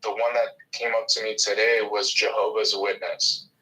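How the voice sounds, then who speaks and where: A man's voice: on the low side, level tone, normal speed, pushed and bright, poor audio. A man from Mexico, a native Spanish speaker with a faint Mexican accent, chatting on a video call with friendly, stammering restarts. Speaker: video chat participant. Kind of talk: casual video chat.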